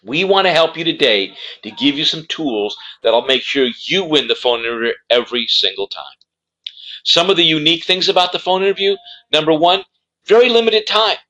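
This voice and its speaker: A man's voice: a little high, sharp tone, rough recording. A man presenting as a career coach, giving instructional advice in an emphatic way and repeating key words for stress. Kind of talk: instructional talk to camera.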